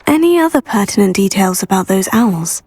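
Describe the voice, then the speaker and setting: A woman's voice: mid-range voice, lively intonation, a little fast, a little mellow, slightly rough. A woman playing a helpful, modest young student witch heroine in a video game, polite and inquisitive. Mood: kindly, concerned. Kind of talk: video game dialogue acting.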